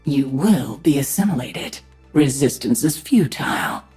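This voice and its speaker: A sardonic psionic warrior queen from a video game. Her voice is low and husky, with a dry, commanding manner. Music plays behind her. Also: lively intonation, a little slow, warm tone, full character voice. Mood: menacing, sardonic. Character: sardonic psionic alien-hybrid warrior queen, adult woman. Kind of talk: video game character voice lines.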